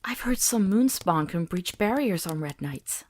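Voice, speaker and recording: A woman's voice: fairly low pitch, animated, measured pace. A woman playing a timid, curious and polite young traveling companion in a visual novel. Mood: nervous. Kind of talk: scripted visual novel drama.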